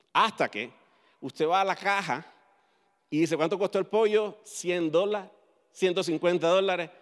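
A man whose first language is English, preaching a sermon in Spanish in an animated way and asking the audience questions. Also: fairly high pitch, in a large hall. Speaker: pastor.

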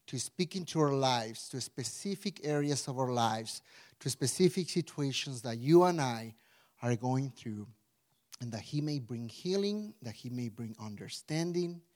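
A man in his forties from Mexico with a Mexican Spanish accent, preaching a casual sermon with a prayer, chatty and animated, full of restarts and "right?" asides. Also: medium pitch, measured pace. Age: 40s. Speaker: pastor.

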